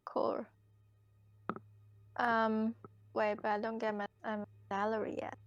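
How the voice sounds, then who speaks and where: A woman playing a tabletop roleplaying game, chatting casually in character in a hesitant, low-key way. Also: monotone, takes it slowly, dry recording, lo-fi sound.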